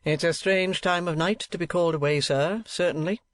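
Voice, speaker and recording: A man's voice: fairly high pitch, steady tone, brisk, no room sound, voice pushed up, close to an everyday voice. A man doing a huffy, pompous old uncle. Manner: sharp, huffy and self-important. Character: pompous, touchy older male rich uncle. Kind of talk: audiobook character voice.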